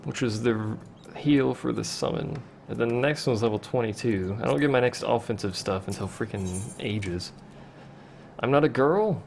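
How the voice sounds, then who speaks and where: A man's voice: medium pitch, normal speed, creaky, slight background noise. A young man casually commenting while playing a game on a livestream, answering chat with wry asides. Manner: chatty, reads chat questions aloud, wry asides. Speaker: let's play streamer.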